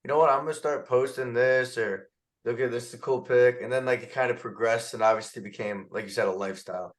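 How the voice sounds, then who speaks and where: A man's voice: medium pitch, fairly even intonation. Easygoing man podcast host. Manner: easygoing, halting questions. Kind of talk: casual interview chat.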